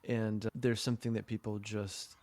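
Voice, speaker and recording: Flat-voiced male therapist host. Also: on the low side, crowd noise. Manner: measured, affirming, frequent fillers. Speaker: podcast host, trauma therapist. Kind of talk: reflective interview commentary.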